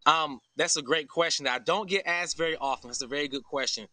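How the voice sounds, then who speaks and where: American male, thirties, crisp bright voice, dry video-call sound, informal and explanatory interviewee. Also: on the high side, fast, dry recording. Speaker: farm founder and interview guest. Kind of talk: conversational live TV interview. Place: outdoors under an open farm shelter.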